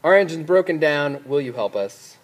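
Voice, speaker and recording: A man's voice: fairly high pitch, expressive, normal speed. A man voicing a little toy clown in a children's story, with an eager, pleading manner.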